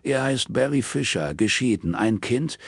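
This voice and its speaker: A man's voice: medium pitch, expressive, measured pace, creaky, slightly rough, dry recording, clean studio sound, pitched above the performer's own voice, heavily acted. A man voicing a smug, flirty private detective with a pushy, bantering manner in an audiobook. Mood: eager, smug.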